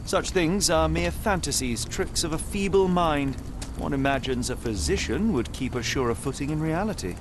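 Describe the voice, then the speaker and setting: A man plays a Victorian English detective for a game cutscene. He speaks in a slightly high register, formal and exact, with cool condescension. Busy outdoor noise sits clearly behind him. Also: animated, moderate pace, lightly acted. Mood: dry, dismissive. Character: coolly rational, haughty Victorian detective, adult man. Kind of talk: video game cutscene acting.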